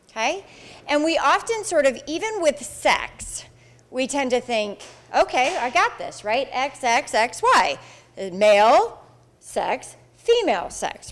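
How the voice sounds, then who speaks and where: A woman with a big voice giving a chatty, animated classroom presentation into a handheld mic. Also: on the high side. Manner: animated, chatty, acts out quoted reactions. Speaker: psychology instructor and Pride Center coordinator. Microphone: handheld microphone.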